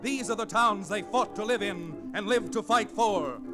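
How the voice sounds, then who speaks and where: A man's voice: high voice, normal speed. An American man announcing in a dramatic, declamatory 1940s radio style. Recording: restored vintage radio recording. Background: loud theme music.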